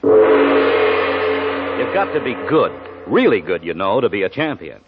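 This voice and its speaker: A man's voice: lowish voice, sing-song, moderate pace, over loud music. A male announcer reading a 1940s radio advertisement in an emphatic, salesmanlike way. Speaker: commercial announcer. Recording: muffled 1940s radio recording.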